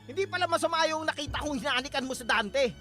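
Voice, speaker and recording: A bitter, jealous Filipino husband with a bright, forceful voice. He is curt and accusing in radio drama style, over faint music. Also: very high-pitched, fast. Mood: furious, accusing.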